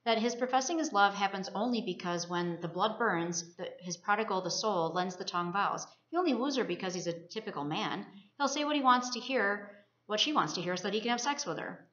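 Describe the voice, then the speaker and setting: A woman's voice: mid-range voice, animated, brisk, few pauses, fairly bright tone. A woman, a college student presenting, reads aloud a scripted discussion of literature. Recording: roomy, muffled lo-fi recording.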